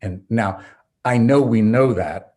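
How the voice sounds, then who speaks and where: A man's voice: lowish voice, takes it slowly, a little mellow. Earnest preacherly man teaching. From North America. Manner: earnest, preacherly, varies pace for emphasis. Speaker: pastor and Bible professor, guest. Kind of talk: teaching conversation.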